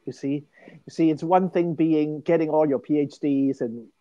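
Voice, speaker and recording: Older man from Hong Kong, mellow voice. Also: fairly high pitch, moderate pace, no room sound, lo-fi sound. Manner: animated and emphatic, many restarts. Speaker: guest. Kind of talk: interview storytelling.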